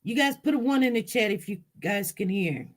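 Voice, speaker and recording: A chatty host runs a live stream in an easy, casual way, talking straight to the viewers in the chat. The voice sits at a middling pitch and often gets loud and animated. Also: a little fast. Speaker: livestream host.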